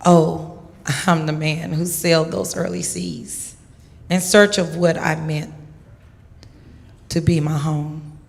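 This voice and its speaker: A woman giving a dramatic poetry reading with emphatic, deliberate phrasing. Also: low voice, level tone, gravelly. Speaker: poetry reader. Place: large church hall. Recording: echoey hall.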